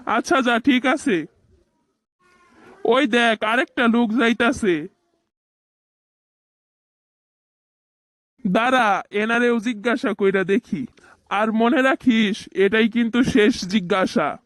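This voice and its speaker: A very high-pitched cartoon village boy played by a man, smug and bossy. He taunts and gives orders in everyday colloquial speech. The sound is dry and close. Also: takes it slowly, fairly bright tone, dry recording, exaggerated voice. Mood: argumentative. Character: bossy, teasing young village boy who wins a bet. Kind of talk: cartoon voice acting.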